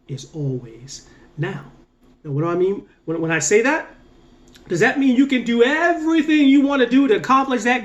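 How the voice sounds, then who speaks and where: A man with a fairly high voice gives a casual motivational talk as a mindset coach. He is earnest and emphatic, lifting his voice on key points and drawing out the ends of phrases to drive them home. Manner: earnest, repetitive, emphatic with drawn-out slow endings; energetic, rising on key points.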